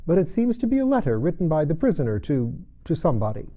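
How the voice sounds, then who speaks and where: A man voicing a fussy, eager rabbit herald in a storybook reading, hurried and deferential. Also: on the high side, wildly swooping pitch, warm tone, muffled recording, pitched above the performer's own voice. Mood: eager, flustered.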